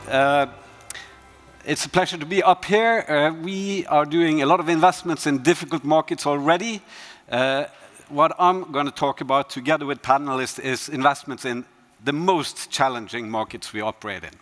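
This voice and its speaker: A man's voice: on the high side, normal speed, a bit bright, soft background music. A man on a conference stage moderating a panel, asking questions with frequent fillers.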